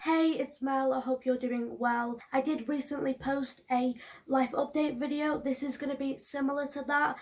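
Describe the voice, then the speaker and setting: A woman in her early twenties, hoarse from allergies, talking to camera in a chatty, rambling way with many ums and restarts. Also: high-pitched, fairly even intonation, normal speed, loud music behind the voice. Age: early 20s. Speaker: vlogger. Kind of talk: casual talk to camera.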